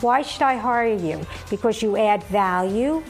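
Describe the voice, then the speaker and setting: A woman's voice: mid-range voice, unhurried, soft background music. A woman who works as a career counselor, giving advice in a confident, professional way. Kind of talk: recorded interview clip.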